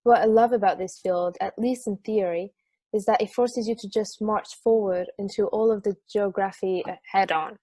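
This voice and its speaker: A man's voice: high voice, fairly even intonation, moderate pace, mellow, no echo. A man acting as an easygoing football fan who relishes weirdness, in a conversational script reading. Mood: enthusiastic, wry. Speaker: amateur actor in a remote table read.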